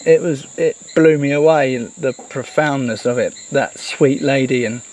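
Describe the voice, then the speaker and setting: A person giving a casual, reflective monologue, speaking earnestly and haltingly with repeated words. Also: medium pitch, fairly even intonation, a little mellow. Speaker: host of a solo talk. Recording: noisy, with chirping insects behind.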